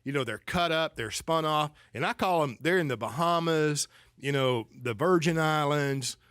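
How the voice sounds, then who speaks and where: A man's voice: fairly high pitch, normal speed, fairly bright tone, smooth, dry recording, clean studio sound. A man with a Southern accent, a financial advisor, talking in a rambling way, restarting sentences and often asking "right?". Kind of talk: casual conversation.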